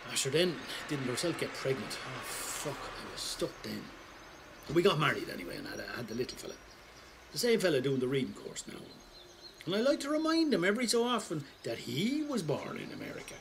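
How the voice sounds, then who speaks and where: A man's voice: fairly high pitch, big pitch swings, brisk, gravelly, noisy background. An actor playing a crusty older Irish father, rambling and sweary, reminiscing conversationally in an audio drama monologue. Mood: wry, reminiscing. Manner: rambling, colloquial, salty storytelling.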